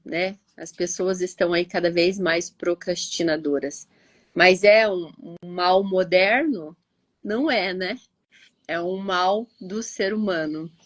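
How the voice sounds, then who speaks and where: A woman's voice: lowish voice, dry recording. A stuffy-nosed woman teacher from Curitiba with a Brazilian Portuguese accent, explaining in a chatty live talk, often tagging on "né?". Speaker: teacher and live show co-host. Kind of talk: casual explanatory chat.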